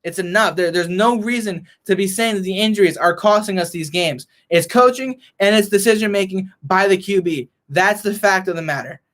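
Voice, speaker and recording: A young man ranting as a frustrated sports fan vlogger, hoarse and losing his voice, reading and answering chat. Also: high-pitched, normal speed, hardly any pauses, fairly bright tone. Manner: frustrated, heated rant.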